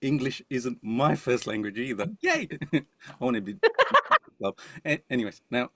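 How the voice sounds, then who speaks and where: A man's voice: medium pitch, big pitch swings, measured pace, gravelly. A man co-presenting a webinar over a video call, jokey and self-deprecating, rambling with many fillers. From Indian heritage. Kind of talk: joking webinar talk.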